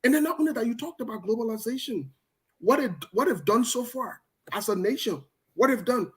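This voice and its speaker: A man's voice: very high voice, animated, fairly quick. A man, hosting a political discussion and pressing his points emphatically, often repeating words for stress. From Liberia.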